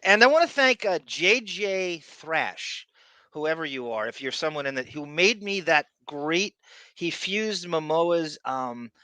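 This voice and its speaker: A male podcast host with a bright, forceful voice in a dry, close sound. He chats casually and rambles, doubling back on phrases and hopping between asides. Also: a little high, expressive, a little slow, dry recording. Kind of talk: casual live chat show.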